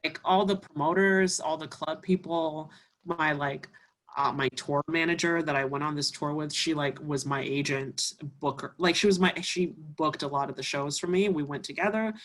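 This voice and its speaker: A woman's voice: deep voice, moderate pace, fairly bright tone. A woman from Canada, a musician guest, talking casually in an interview with many fillers.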